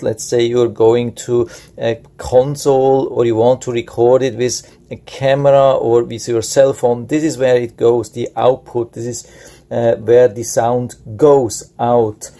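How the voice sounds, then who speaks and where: A man's voice: medium pitch, mellow. A man giving an unscripted product review and tutorial, explaining things plainly step by step.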